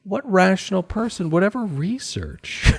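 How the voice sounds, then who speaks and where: A man's voice: high voice, animated, measured pace, creaky, husky. A man hosting a casual comedy podcast, joking and delivering mock-formal announcements. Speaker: podcast host.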